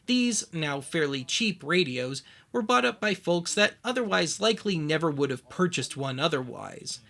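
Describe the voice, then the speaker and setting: A man narrating a scripted documentary as its host, with wry, deadpan humour. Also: fairly high pitch, moderate pace, creaky.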